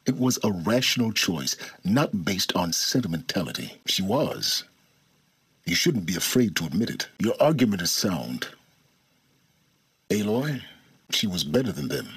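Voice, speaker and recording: An older, aloof video game scholar with a very low, husky voice. His delivery is formal and cerebral, swinging widely in pitch as he condescends. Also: sing-song, measured pace, a bit bright, no echo, heavily acted. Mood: cool, insistent. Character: aloof, arrogant older male scholar of lost ancient technology. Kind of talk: video game voice acting, dramatic dialogue.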